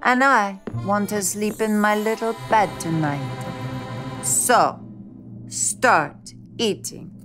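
Woman as calm, firm old witch grandmother. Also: medium pitch, over music, pitched above the performer's own voice, close to an everyday voice. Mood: calm, stern. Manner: measured, firm.

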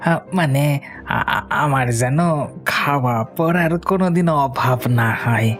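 A man's voice: mid-range voice, takes it slowly, a bit bright, faint music behind. A man playing a timid, fawning servant in a horror cartoon, stammering and pleading. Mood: nervous pleading.

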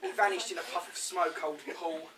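A man's voice: fairly high pitch, fairly even intonation, brisk, lightly acted. A young man playing a brassy, joking mother as a pantomime dame, chatting with the audience on a small theatre stage. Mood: chatty. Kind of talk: school pantomime acting. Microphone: distant camera mic. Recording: distant, noisy stage recording.

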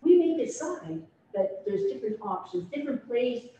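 Council chair, female, deliberate and semi-formal; dull distant room recording with faint noise. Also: fairly high pitch, very animated, mellow, very echoey. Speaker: council chair addressing a municipal meeting. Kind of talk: semi-formal meeting remarks. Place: large indoor council meeting room. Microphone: distant conference camera microphone on video call.

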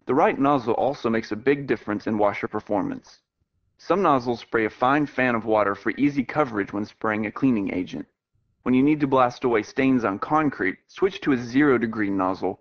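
Male American narrator for a how-to video, mid-pitched, measured explanatory read, lo-fi web audio. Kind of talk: scripted narration.

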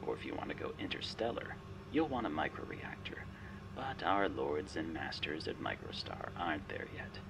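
A man's voice: low-pitched, gravelly, noisy background, full character voice. A man playing a smug, menacing but playful artificial intelligence guiding a spy in an ASMR roleplay, wry, teasing and theatrical. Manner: wry, teasing, theatrical, often dropping to a whisper.

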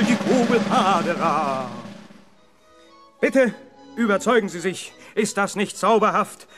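Fairground magician, high male voice, boastful, jovial, theatrical showman patter, children's radio drama. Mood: grandly mysterious. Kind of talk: 1970s German children's radio play acting.

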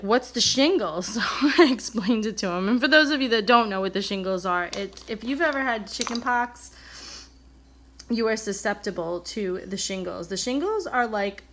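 An American woman talks at a medium pitch, as a vlogger would to her regular viewers. Her delivery is casual and candid, wandering a little as she replays who said what. A mild cold leaves her voice sounding slightly off. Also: a bit bright. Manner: candid, rambling, acts out remembered dialogue. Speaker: vlogger, coloring channel host. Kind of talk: casual chatty personal storytelling. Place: indoors at a table, coloring on camera.

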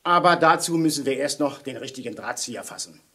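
An actor playing a hearty, boisterous police inspector in a children's audio drama. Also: male voice, mid-range voice, lively intonation, fairly quick, bright, forceful tone. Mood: pleased, formal.

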